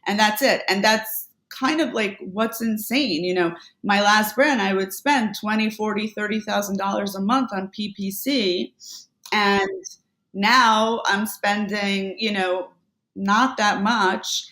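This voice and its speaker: A woman guest on a business podcast, talking casually about selling online, with quick asides and self-corrections. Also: medium pitch, fairly bright tone, reverberant, poor audio. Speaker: podcast guest, Amazon seller. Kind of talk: casual conversational interview.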